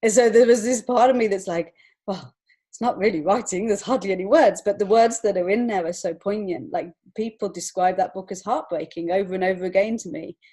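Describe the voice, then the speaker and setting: A woman's voice: mid-range voice, fairly quick, dark tone, slightly rough. A woman speaking as an interview guest in an earnest, reflective and encouraging way. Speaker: podcast guest, children's author and illustrator. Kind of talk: conversational interview answers.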